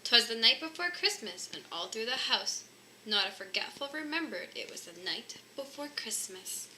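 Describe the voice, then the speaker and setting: Female storybook reader, very bright and lively, slightly high, faint noise behind. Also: normal speed, low-quality recording. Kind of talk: expressive children's story narration.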